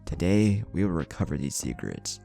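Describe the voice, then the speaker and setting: A man's voice: low voice, big pitch swings, moderate pace, vocal fry, warm tone, soft background music, full character voice. A man voicing a stern, commanding grandmother who leads a ninja clan in a fantasy story, speaking formally and solemnly. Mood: solemn, proud. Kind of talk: fantasy story character reading.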